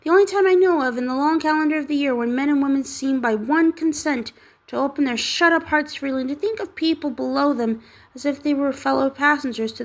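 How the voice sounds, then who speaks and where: A woman's voice: high voice, voice pushed up. A woman doing a cheerful, earnest young man. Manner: bright, earnest and pleading.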